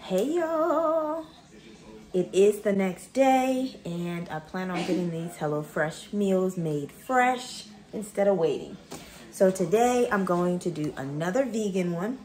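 A woman's voice: medium pitch, expressive, slow pace, darkish tone. A middle-aged woman in a home kitchen, chatting casually to the camera and reading recipe steps aloud. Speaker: vlogger. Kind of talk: casual cook-along vlog. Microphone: camera on the counter. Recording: roomy kitchen echo.

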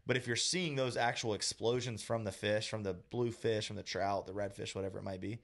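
Guy in his 30s, low voice, talking fishing on a podcast mic, kinda slow and thinking it through. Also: fairly even intonation. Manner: thoughtful, explains step by step with many restarts. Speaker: co-host, fishing guide. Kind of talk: casual podcast chat. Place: small room indoors. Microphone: studio mic on boom arm.

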